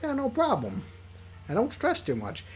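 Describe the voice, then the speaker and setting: A streamer voicing a cheerful, supportive young male friend in a squeaky, raised voice, giving upbeat advice. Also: wildly swooping pitch, moderate pace, slight background noise. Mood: encouraging. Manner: upbeat, reassuring, chatty advice.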